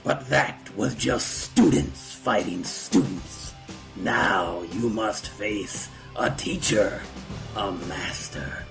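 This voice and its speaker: A man playing a menacing, arrogant older martial arts master, speaking with pompous, theatrical slowness and a gravelly edge in a fan-made voice comic. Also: fairly low pitch, fairly bright tone, faint music behind, full character voice. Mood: proud menace. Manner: slow, pompous, theatrical threats.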